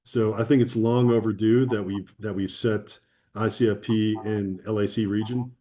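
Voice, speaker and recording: A man's voice: lowish voice, warm tone. A man speaking as an interview guest, thoughtful and enthusiastic. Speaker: conference guest. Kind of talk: conversational interview.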